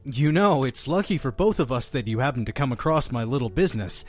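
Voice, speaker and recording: An effusive, theatrical male innkeeper acted by a man in an audio drama. His pitch swings widely as he chats on, pushy and mysterious, over music. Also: expressive, a little fast, close to an everyday voice. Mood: cheery, welcoming.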